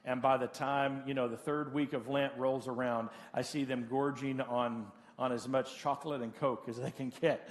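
A man's voice: medium pitch, steady tone. Pastor in his late forties, reverberant hall. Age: late 40s. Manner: halting, repeats phrases for emphasis. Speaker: pastor preaching. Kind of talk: conversational sermon with practical advice. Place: church. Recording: hall reverb of a large room.

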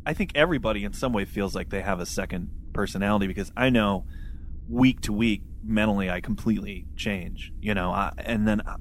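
A man's voice: lowish voice, brisk, a little hiss or hum. A man co-hosting a podcast, talking casually about a book in a candid, self-deprecating, rambling way. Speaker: podcast co-host. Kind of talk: casual book discussion chat.

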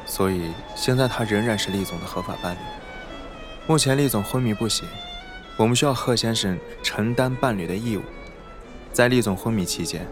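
Actor as low-voiced, steadfast corporate aide. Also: male voice, natural voice. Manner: businesslike, measured, firm. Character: formal, steadfast male corporate aide, politely unyielding. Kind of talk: Chinese radio drama acting.

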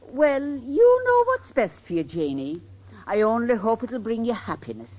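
An actress playing a vain, good-humored middle-aged Irish neighbor in an old radio sitcom. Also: female voice, fairly high pitch, very animated, a little slow. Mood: motherly. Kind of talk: 1940s-50s radio sitcom acting. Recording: dull, noisy old radio recording.

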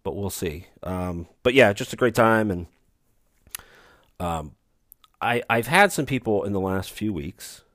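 Deep-voiced man rambling on a podcast. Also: expressive, measured pace, pauses often, a bit bright, no echo. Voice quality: soothing low voice. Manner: rambling, self-deprecating, dry humor. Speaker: podcast host. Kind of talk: casual solo podcast monologue. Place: recording remote, away from studio.

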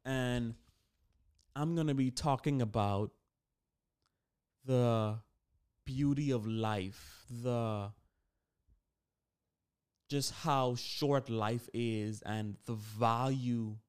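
A man's voice: mid-range voice, fairly even intonation, drawn-out words, long pauses, clear, resonant tone. An eighteen-year-old man hosting a solo podcast, talking casually and informally, often correcting himself as he goes. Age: 18 years old. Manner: informal, conversational, self-correcting. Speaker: podcast host.

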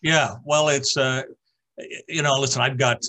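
A man from Ontario hosting a casual interview over a video call, chatty and quick to laugh. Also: medium pitch, fairly even intonation, bright, forceful tone. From Ontario, Canada. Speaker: talk show host.

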